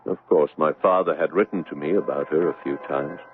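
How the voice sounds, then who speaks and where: An actor playing an earnest, haunted young doctor in a 1970s radio drama, wistful and deliberate. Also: male voice, extremely low pitch, slight background noise. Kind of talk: 1970s radio drama acting, intimate narration and dialogue. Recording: muffled old 1970s radio broadcast.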